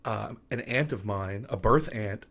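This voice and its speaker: A man's voice: on the low side, unhurried, husky. A man from Long Island, New York, a DJ guest telling personal stories in a casual interview and acting out the lines of people in them. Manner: animated storyteller who acts out quoted dialogue. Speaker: DJ and podcast guest.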